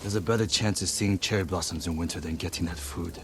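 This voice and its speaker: A boastful, teasing mercenary chief from a video game with a somewhat low voice. His lines sit over clearly audible game ambience. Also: quick pace. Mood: wry. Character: cocky adult male mercenary gang leader. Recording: game audio with ambient noise.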